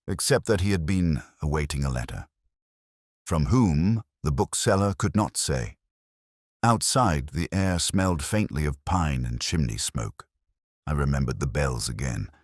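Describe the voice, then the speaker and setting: A man voicing a melancholy older retired police inspector, narrating a literary detective drama in a measured, wistful, erudite way with wry touches. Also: extremely low pitch, no room sound, clean studio sound, heavily acted. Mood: brooding, wry. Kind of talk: literary first-person detective drama monologue.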